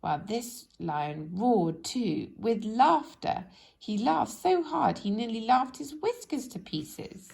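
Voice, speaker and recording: Lively woman reading a children's storybook. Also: fairly high pitch, slow pace, gravelly. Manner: animated, addresses young listeners with questions. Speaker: storybook reader. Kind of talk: expressive children's story narration.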